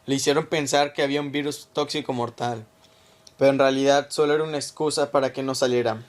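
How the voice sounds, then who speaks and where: A man's voice: mid-range voice, steady tone, quick pace. A man reading scripted narration for a radio drama, telling a story in the third person.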